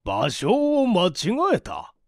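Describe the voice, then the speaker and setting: A man voicing an anxious, excitable country gentleman in a dramatic story reading, with an agitated, emphatic delivery. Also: high-pitched, slow, gravelly, no room sound, clean studio sound, voice pushed up, heavily acted. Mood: excited.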